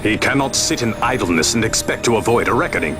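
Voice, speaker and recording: A deep, grave nobleman, voiced by a man in a game cutscene, his formal, old-fashioned lines rising and falling widely. Loud orchestral music plays behind him. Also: animated, natural voice. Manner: formal, archaic, measured speech. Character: grave, measured older nobleman advising rebels.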